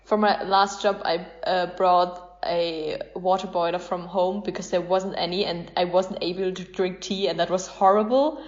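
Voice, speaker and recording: A woman's voice: lowish voice, steady tone, normal speed, few pauses. A woman hosting a casual podcast chat, asking questions in an informal, conversational way. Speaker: podcast host asking quick either-or questions. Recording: slightly dull podcast recording.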